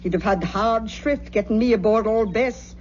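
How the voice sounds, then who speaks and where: An actress playing an affectionate, folksy older country aunt in a 1970s radio drama. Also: female voice, a little high, darkish tone, lightly acted. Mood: fond, playful. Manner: folksy, old-fashioned phrasing, unhurried. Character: affectionate, homely old Scottish country aunt. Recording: muffled, hissy old radio recording.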